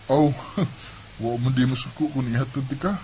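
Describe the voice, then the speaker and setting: A man acts as an eager young Bisaya Filipino student who is smitten with his friend. His middle-pitched voice is coaxing and casual, in radio romance style, over faint outdoor noise and birdsong. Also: fairly even intonation, normal speed, dark tone, natural voice.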